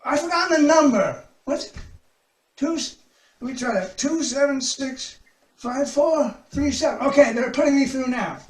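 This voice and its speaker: A woman playing a flustered, forgetful, pompous old gentleman in a comic readers' theater sketch, stammering and muttering. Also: high-pitched, normal speed, lightly acted. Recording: roomy, distant online video call.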